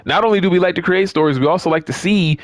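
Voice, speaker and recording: A man's voice: sits high, fast, no echo, studio quality. A man giving casual, off-the-cuff commentary on a video game, emphatic and repeating words for stress. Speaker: gaming video creator.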